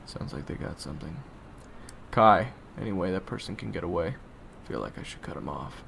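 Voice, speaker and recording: A young man in an audio roleplay, talking in low, sardonic murmured asides. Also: expressive, a little fast, creaky, fairly bright tone, slight background noise. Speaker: roleplay voice actor playing assassin roommate.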